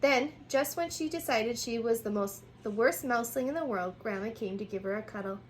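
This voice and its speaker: A woman reading a children's picture book aloud in an animated way, sometimes stumbling and rereading words. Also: a little high, a little fast, a little hiss or hum.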